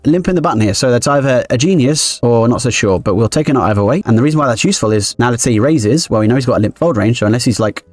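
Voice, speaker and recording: A man streaming his poker games live, thinking aloud quickly and analytically as he explains each decision. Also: medium pitch, lively intonation, a little mellow, dry recording.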